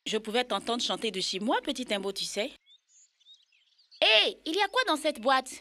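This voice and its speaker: A woman's voice: a little high, sing-song, normal speed, sharp tone, dry recording. A woman voicing a cheerful, helpful female animal friend in a French-dubbed children's cartoon, playful and encouraging. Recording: music under the voice.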